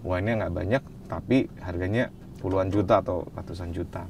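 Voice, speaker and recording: A man speaks in a relaxed, conversational way, mixing English terms into his answers. His voice sits a little low. A faint noise floor sits under the recording. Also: a little fast. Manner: relaxed, mixes English phrases into speech. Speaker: wine expert guest. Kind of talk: casual interview answers.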